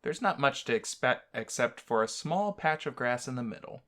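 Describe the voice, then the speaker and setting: A man's voice: medium pitch, lively intonation, normal speed, fairly bright tone. A man hosting a let's-play, bantering teasingly and reading a visual novel game aloud.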